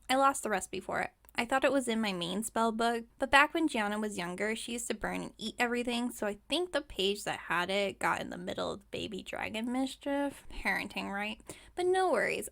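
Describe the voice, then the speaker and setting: Woman acting high-voiced, scatterbrained, overconfident witch. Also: vocal fry, fairly bright tone. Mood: breezy rambling, then cheerfully reassuring. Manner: chatty, breezy, self-assured, musing aloud. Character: scatterbrained, overconfident witch shopkeeper, cheerfully reckless. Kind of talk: ASMR roleplay audio drama.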